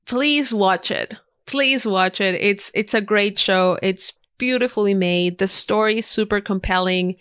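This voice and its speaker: A woman's voice: medium pitch, unhurried, fairly bright tone, smooth, no echo, studio quality. A woman whose first language is Spanish, a podcast guest chatting casually and eagerly recommending things with emphatic repeats. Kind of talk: casual interview chat. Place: podcast studio.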